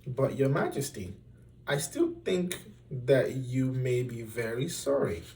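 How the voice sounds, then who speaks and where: A man's voice: mid-range voice, sing-song, slow pace, dark tone, far from the mic. A man voicing a timid, respectful young page in a storybook, anxious, deferential and pleading. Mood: fearful pleading. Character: timid, respectful young male page who cautions his king.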